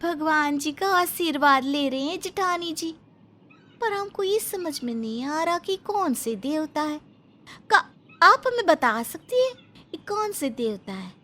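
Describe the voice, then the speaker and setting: A woman playing a naive, cheerful young village sister-in-law in an animated family story, with a rustic Hindi dialect and simple, earnest exclamations. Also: sits high, measured pace, exaggerated voice.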